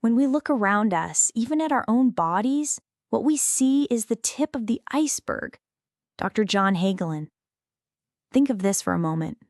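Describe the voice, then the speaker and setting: A woman reading a scripted audiobook in a measured, clearly enunciated, explanatory way. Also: on the high side, expressive, darkish tone, no echo, clean studio sound. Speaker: audiobook narrator.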